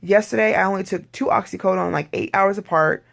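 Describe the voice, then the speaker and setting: An adult vlogger with a hoarse voice, talking in a rambling, candid video diary and clearing their throat often. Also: medium pitch, fairly quick, a bit bright.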